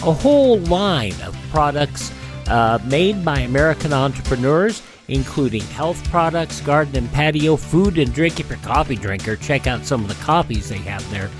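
A man hosting a radio show, announcing and reading ads in a folksy selling manner with emphatic pauses. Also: on the high side, lively intonation, over music. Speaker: radio host. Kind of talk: scripted radio announcing and host-read ads.

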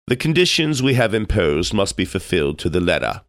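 A stern storybook king, read by a male narrator, pompous and formal. The voice is very low and moves expressively through each grand phrase. The sound is dry and close. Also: sing-song, brisk, no echo. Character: pompous tyrant king passing solemn sentence.

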